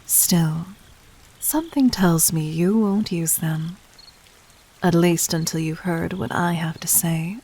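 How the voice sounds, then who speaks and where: A woman acts out a demon queen for an audio roleplay, her voice on the low side and very unhurried. She addresses the listener formally, gently domineering and teasing, with breathy touches and silky condescension. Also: full character voice, low-quality recording. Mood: commanding, composed.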